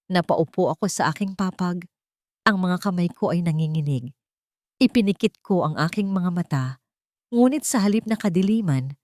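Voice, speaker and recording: Low-voiced woman narrating a dramatic story. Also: a little mellow, no room sound, studio quality. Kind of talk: scripted first-person dramatic narration.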